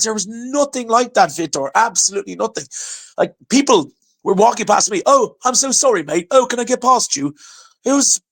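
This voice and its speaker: Chatty Irish man, higher voice. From Ireland. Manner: chatty, animated storytelling with repeated restarts. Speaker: podcast guest. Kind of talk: casual chat.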